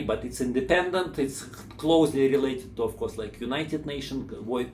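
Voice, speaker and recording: A man's voice: medium pitch, a little mellow. Man rambling in an echoey room. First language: Russian. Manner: rambling, many fillers and restarts. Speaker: channel host. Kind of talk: informal explainer talk. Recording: echoey room, faint background hum.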